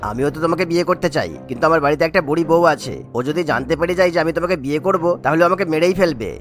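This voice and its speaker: Man playing a vain, rapid-fire old cartoon schemer. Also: fairly high pitch, faint music behind, natural voice. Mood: sweet wheedling. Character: vain, sly old father-in-law chasing a rich girlfriend. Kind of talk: Bengali cartoon voice acting.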